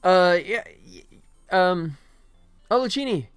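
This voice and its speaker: A man's voice: sits high, expressive, takes it slowly, clear, resonant tone, soft background music. A man live streaming as a game developer, chatting casually with viewers and muttering to himself as he works. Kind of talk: casual live stream chat while working.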